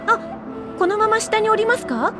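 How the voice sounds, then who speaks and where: A bubbly young female researcher in an anime-style video game, with an extremely high voice. She is chatty, earnest and eager to please, speaking politely and expressively. Loud music runs underneath. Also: expressive, normal speed. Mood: cheerful, helpful. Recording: studio voice over loud music.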